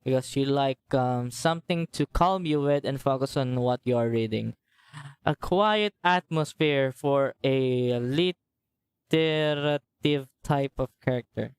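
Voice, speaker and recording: A man's voice: medium pitch, unhurried, clear, resonant tone. A man who streams games, giving casual live commentary as he plays. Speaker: game streamer. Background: game music.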